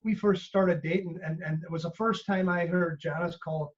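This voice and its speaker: A man's voice: sits high, normal speed. A middle-aged man with a Canadian accent, a business coach telling stories in a casual podcast chat and acting out what people said. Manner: animated storyteller, acts out quotes. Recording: muffled lo-fi audio.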